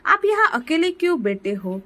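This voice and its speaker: A woman's voice: high voice, sing-song, normal speed, bright, forceful tone, pitched above the performer's own voice, exaggerated voice. A woman voicing a poor, worried village father in a moral story, humble and pleading. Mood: concerned.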